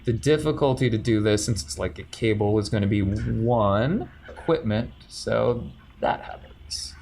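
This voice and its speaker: A man's voice: on the low side, unhurried, gravelly, darkish tone, slight background noise. A man acting as game master, explaining dice rules in a methodical way with frequent ums and self-corrections.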